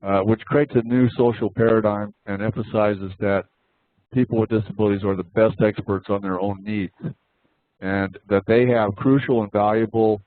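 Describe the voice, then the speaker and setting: A man with a cold and a slightly raspy voice giving a prepared overview of his organization, with frequent "uh" fillers. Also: fairly low pitch, little pitch movement, normal speed, dry recording. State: has a cold. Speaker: nonprofit organization representative. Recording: dry, tinny phone line.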